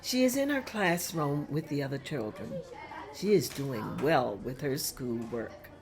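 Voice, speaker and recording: A woman narrating a script at a table read, reading scene directions in a plain, steady way. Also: rumbling low, unhurried, crowd noise.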